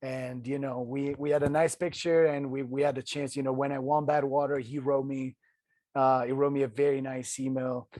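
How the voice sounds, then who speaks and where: A man from Italy with an Italian accent, telling his story as a podcast guest in an earnest, halting way full of restarts. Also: medium pitch, flat delivery, darkish tone, no room sound. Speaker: guest, ultrarunner. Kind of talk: casual interview storytelling.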